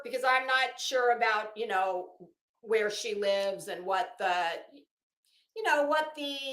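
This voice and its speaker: A woman giving a casual, blunt, profane commentary to viewers, speaking emphatically and haltingly with drawn-out words. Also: on the high side, fairly bright tone. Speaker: online commentary host. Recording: roomy echo.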